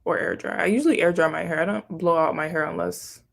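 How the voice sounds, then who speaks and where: Young woman, casual vlogger, rambling chatty answers, slightly low pitch. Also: fast, creaky. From Haiti, raised in Florida. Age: young adult. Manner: chatty, rambling, self-questioning asides. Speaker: YouTuber answering viewer questions. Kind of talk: casual chat.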